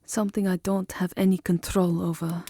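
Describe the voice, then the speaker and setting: A woman playing a seductive, tender vampire countess in an intimate ASMR roleplay, hushed and breathy, often slipping into a whisper. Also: lowish voice, level tone, brisk. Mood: ominous, confessional.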